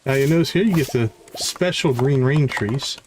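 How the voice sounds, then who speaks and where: Friendly guy streaming a farming game, medium pitch, chatting to viewers, faint noise n clicks behind. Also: moderate pace. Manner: friendly, greets viewers by name. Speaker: livestream host playing a farming game. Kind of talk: casual live game commentary, chatting with viewers.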